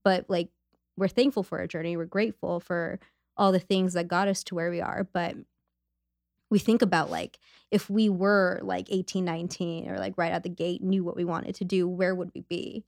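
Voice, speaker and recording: A woman's voice: lowish voice, flat delivery, normal speed, creaky, darkish tone, no room sound, studio quality. A woman in her late twenties hosting a podcast, reacting with enthusiastic slang and asking long, rambling questions. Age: late 20s. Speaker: podcast host. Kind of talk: casual conversational interview.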